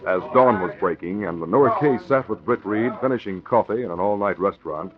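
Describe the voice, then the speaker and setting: A man's voice: fairly low pitch, brisk. A man giving scripted narration for a 1940s radio drama. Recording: muffled old radio recording with music. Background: music.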